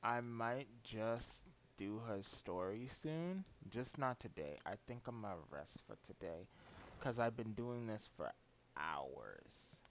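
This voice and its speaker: Slow-paced man streaming, slightly muffled. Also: on the low side, expressive. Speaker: game streamer. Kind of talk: casual livestream commentary. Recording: muffled mic with background noise.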